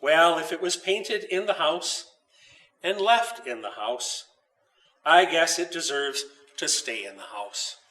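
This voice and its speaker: A man voicing a hesitant but agreeable father in a storybook, speaking in a measured, considering way. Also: a little high, sharp tone, roomy sound, rough recording. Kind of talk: storybook character voice.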